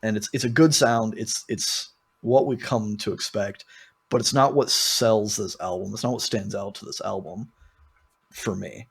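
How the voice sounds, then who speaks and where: A man's voice: lowish voice, steady tone, moderate pace, creaky, slightly rough. A man hosting a podcast in a casual, rambling and informal way, talking through music with frequent asides. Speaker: podcast host. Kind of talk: casual album discussion.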